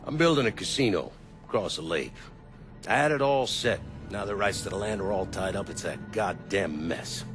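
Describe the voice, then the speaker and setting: Casting: menacing middle-aged crime boss for a game; coarse, blunt, very animated delivery, music behind. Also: male voice, low-pitched, moderate pace. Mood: gruff, businesslike. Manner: blunt, profane, threatening. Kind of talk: video game cutscene acting.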